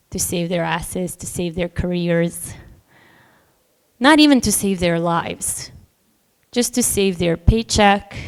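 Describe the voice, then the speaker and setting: A Bosnian woman novelist answering on a festival panel, thoughtful and hesitant, with many pauses and small laughs between points. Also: fairly low pitch, smooth. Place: festival hall with long reverb. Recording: hall reverb, live panel.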